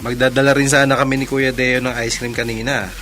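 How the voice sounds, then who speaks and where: An actor playing a friendly, mild-mannered bachelor in a radio drama, polite and slightly tentative, with a Filipino accent. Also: male voice, medium pitch, level tone, brisk, bright, forceful tone, soft background music, close to an everyday voice. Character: courteous, eager bachelor architect, friendly and mild.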